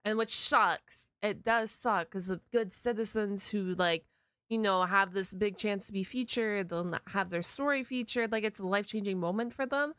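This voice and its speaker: Bright, expressive woman reacting casually. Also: medium pitch, moderate pace, clear, resonant tone, no echo. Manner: expressive, exclaiming, slangy. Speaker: reaction video host. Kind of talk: casual live reaction commentary.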